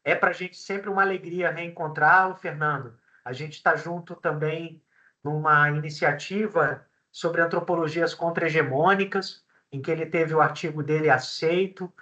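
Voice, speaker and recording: A male professor hosting an academic seminar over a video call, introducing the guest in a measured way with frequent fillers. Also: a little high, steady tone, pushed and bright. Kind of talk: formal introduction. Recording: dull lo-fi video call audio.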